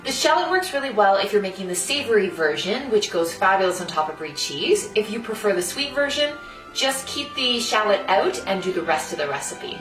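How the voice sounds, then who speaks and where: A woman's voice: mid-range voice, very animated, brisk, fairly bright tone. A woman hosting a cooking demonstration, explaining the recipe step by step in an instructional way. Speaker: food designer and cooking host. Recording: roomy echo, distant mic, music behind.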